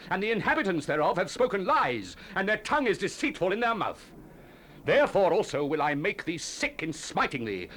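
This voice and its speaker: An actor playing a solemn biblical prophet in a dramatized scripture recording, declaiming judgment and lament. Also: male voice, on the high side, a bit bright. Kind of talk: dramatized scripture reading, 1970s audio drama. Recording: hissy 1970s cassette tape.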